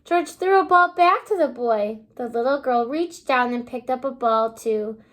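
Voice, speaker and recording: A woman kindergarten teacher reading a storybook aloud to young children in an exaggerated, child-directed way, pausing to ask simple questions. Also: high voice, fairly bright tone. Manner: asks simple questions, pausing as if listeners answer.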